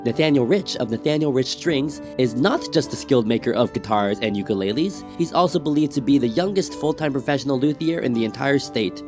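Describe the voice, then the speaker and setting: A man's voice: medium pitch, animated, brisk, few pauses. Polished male documentary narrator over music. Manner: smooth, polished introduction. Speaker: show narrator.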